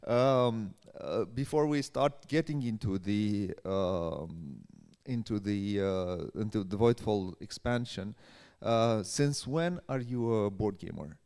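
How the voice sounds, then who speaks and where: Halting low-voiced man in an echoey hall. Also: animated, a little mellow. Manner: hesitant, halting delivery. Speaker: workshop host and interviewer. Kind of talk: live event introduction and interview. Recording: echoey hall with long reverb.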